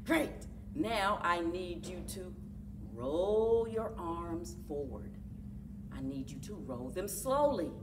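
A middle-aged woman speaking to young children as a story time host, with exaggerated, drawn-out storyteller delivery. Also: on the low side, animated, recorded from a distance, noisy background. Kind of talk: expressive picture-book read-aloud and leading action activity for children. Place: indoors, children's library room.

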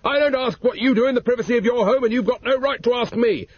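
A shrill, furious husband squealing his protests, as a man puts him on. The voice sits high and very husky. The recording sounds dull and lo-fi. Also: fast. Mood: outraged. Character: indignant middle-aged man, shrill and furious.

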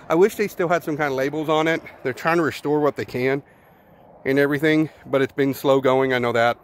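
A middle-aged man with a Texan drawl talks outdoors into a handheld phone as he walks. His voice sits a little high for a man, and he thinks aloud in an easy, rambling way, often repeating words. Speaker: vlogger exploring ruins. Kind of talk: casual walking tour narration. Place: outdoors at a ruined zoo. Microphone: handheld phone camera.

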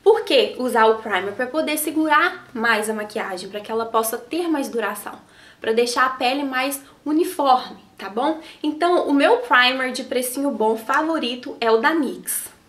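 A woman with a Brazilian accent talks straight to camera in a small studio, teaching makeup basics in a chatty, affectionate way and tagging questions onto her phrases. Her voice sits high and sounds a little nasal from a cold. The room adds a noticeable echo. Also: moderate pace, fairly bright tone. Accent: Brazilian Portuguese. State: has a cold, slightly nasal voice. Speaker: makeup artist and beauty vlogger. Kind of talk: beauty tutorial to camera. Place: small indoor studio in front of a logo backdrop. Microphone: camera framing head and shoulders. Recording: roomy echo.

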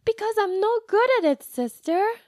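A woman's voice: extremely high pitch, animated, moderate pace, no room sound, studio quality, voice pushed up. A woman voicing a sulky, earnest little girl in a storybook reading, pouting and petulant. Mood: upset, frustrated. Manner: petulant, childlike phrasing.

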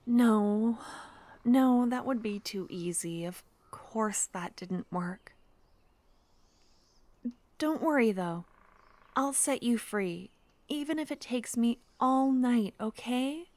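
Woman acting a soft, slow-spoken shy witch. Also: a little high, animated, no echo. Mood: gentle, determined. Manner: soft, breathy, hesitant, often dropping to a whisper. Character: shy, kind-hearted, lonely young witch, rambling and smitten. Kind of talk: ASMR fantasy romance roleplay. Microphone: close ASMR microphone.

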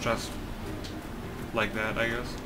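A man's voice: on the low side, little pitch movement, slow pace. Man casually commentating over noisy game audio. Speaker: gaming video creator. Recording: noisy home setup, loud computer.

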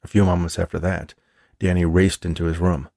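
A man's voice: low-pitched, flat delivery, brisk, vocal fry, darkish tone, no echo, clean studio sound. A man reading a story aloud as a scripted audiobook narration. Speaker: author reading own novel.

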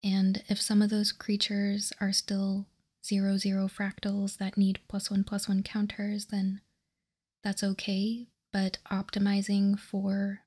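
A woman whispers an ASMR explanation close to the microphone. Her delivery is slow and very even, with a soft, mellow, breathy texture. She sounds calm and measured throughout. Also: mid-range voice. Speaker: host of a card game ASMR channel.

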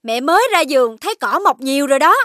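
A woman's voice: high voice, expressive, fast, noisy background. A woman playing a stern, nagging, exasperated mother in a children's cartoon. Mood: scolding.

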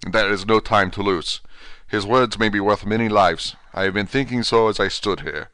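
A man voicing a stern elderly professor who speaks in a low, measured, grave way. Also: steady tone, a bit bright, clear, resonant tone, no room sound, close to an everyday voice.

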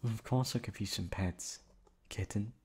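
A man playing an affectionate, teasing young boyfriend in a roleplay audio, coaxing playfully with drawn-out words. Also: on the low side, a little mellow, breathy edge, full character voice. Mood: mock sulky, teasing. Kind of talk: roleplay ASMR audio acting.

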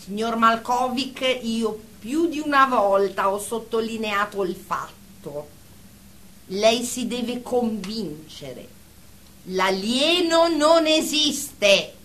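An actress plays a female group therapist in a radio drama, firm and formal. Her voice is very high and bright. She speaks slowly and deliberately, admonishing her patients with measured authority. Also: expressive. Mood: stern, measured. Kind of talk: Italian radio drama acting.

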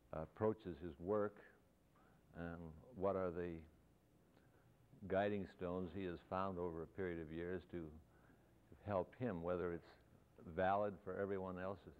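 A man in his sixties, an architect speaking as guest lecturer to students, reflective and loosely phrased with restarts. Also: low voice. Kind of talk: informal talk to students. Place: lecture hall. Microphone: lapel mic. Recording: thin, phone-like, faintly hissy 1970s videotape.

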